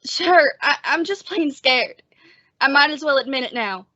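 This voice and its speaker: A woman's voice: high-pitched, lively intonation, unhurried, dull audio. A woman playing a timid, anxious male townsman in an old-time radio western, speaking earnestly. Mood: scared, dejected.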